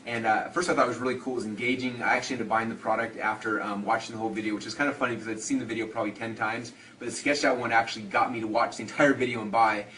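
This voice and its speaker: A man's voice: medium pitch, steady tone, very fast, few pauses, sharp tone. A man giving an enthusiastic, unscripted customer testimonial with hesitant phrasing. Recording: distant mic with clear background noise.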